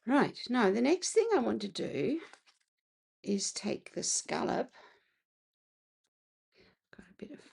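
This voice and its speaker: Slow, gravelly woman with croaky voice. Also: a little high, big pitch swings, a little mellow. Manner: chatty, clears throat often. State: slightly croaky voice. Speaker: card-making crafter. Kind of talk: casual craft tutorial.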